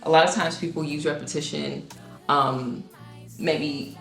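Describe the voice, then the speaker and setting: A young woman, a singer-songwriter, casually explains her own song with many ums and restarts and now and then sings a note; her voice is low. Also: steady tone, measured pace, vocal fry, roomy sound, soft background music, low-quality recording. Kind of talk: casual music breakdown.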